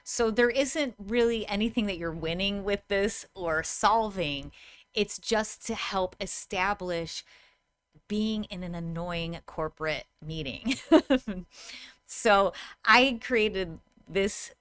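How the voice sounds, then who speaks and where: A woman's voice: on the low side, a bit bright, clean tone, dry recording. A woman in her thirties giving a tutorial to camera in a conversational, explanatory manner. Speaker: host, VR game designer.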